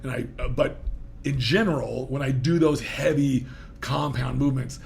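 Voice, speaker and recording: A man's voice: medium pitch, normal speed, gravelly. A man in his fifties hosting a podcast, talking in a rambling, self-interrupting way and laughing easily. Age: 50s. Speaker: podcast host. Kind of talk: casual interview talk.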